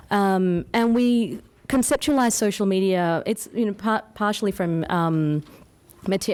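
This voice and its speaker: Low-pitched Australian woman presenting. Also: a little mellow. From Australia, born in England. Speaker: academic presenter, anthropologist. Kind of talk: conference paper presentation, informal.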